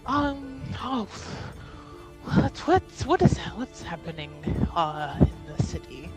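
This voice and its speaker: A woman's voice: a little high, big pitch swings, takes it slowly, soft background music, low-quality recording. A woman playing a tabletop roleplaying game, describing her character casually in a hesitant, giggly way.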